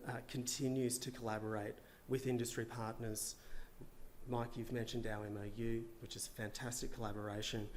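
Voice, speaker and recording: A man, a government official, giving a measured conference presentation with a slightly hoarse, tired voice and frequent filler words. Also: fairly low pitch, a little hiss or hum. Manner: measured, partly read remarks with frequent uhs. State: losing his voice.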